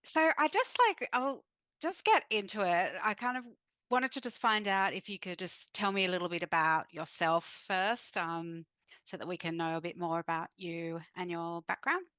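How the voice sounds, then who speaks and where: A woman's voice: fairly low pitch, moderate pace, clear, resonant tone, no echo. A woman hosting a podcast interview over a remote call, with a hesitant, filler-filled way of asking questions. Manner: warm, hesitant, lots of fillers. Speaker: podcast host. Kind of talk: casual interview. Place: in Sydney.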